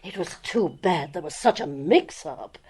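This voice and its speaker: A woman's voice: fairly low pitch, animated, a little slow, a little mellow. An actress playing a blunt, bossy, gossipy neighbor woman in a period drama, outspoken and opinionated. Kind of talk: audio drama acting.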